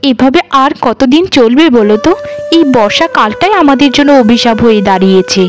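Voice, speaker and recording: High-voiced, plaintive poor village woman by an actress. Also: a little fast, over music, lightly acted. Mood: despairing. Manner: pleading, deferential, lamenting. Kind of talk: cartoon voice acting.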